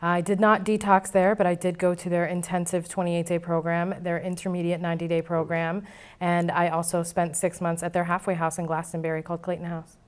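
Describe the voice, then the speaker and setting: A woman's voice: on the low side, level tone, fairly quick, clean tone. A woman speaking candidly and conversationally as an interview guest, giving personal testimony. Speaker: interview guest, former client now board member.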